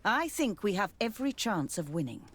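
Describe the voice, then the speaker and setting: A woman's voice: medium pitch, very animated, a little fast, a bit bright. A woman voicing a poised, courteous official in a video game, speaking in a formal, polished and authoritative way. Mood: businesslike. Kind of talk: dramatic video game acting.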